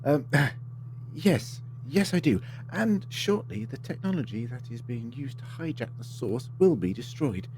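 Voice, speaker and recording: A man's voice: medium pitch, animated, measured pace, a little mellow, natural voice. A man acting in an audio drama as a resolute, improvising time-travelling hero, by turns hesitant and decisive. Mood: tense, resolute. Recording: clear background noise.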